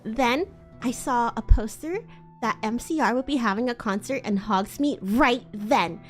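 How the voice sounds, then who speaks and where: A woman's voice: fairly high pitch, a little slow, soft background music, a faint crowd. A woman livestream host doing a giggly, theatrical comedic reading, often breaking off into asides. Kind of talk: live comedic dramatic reading of fan fiction.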